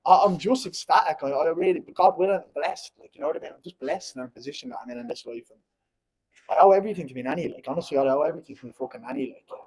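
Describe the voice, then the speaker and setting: Husky-voiced Dublin man in his twenties. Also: on the high side, rapid-fire, a little mellow, poor audio. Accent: Dublin Irish. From Ireland. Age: 20s. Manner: blunt, heated and rambling, keeps asking "you know what I mean". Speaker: fighter at a press conference. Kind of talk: post-fight press conference.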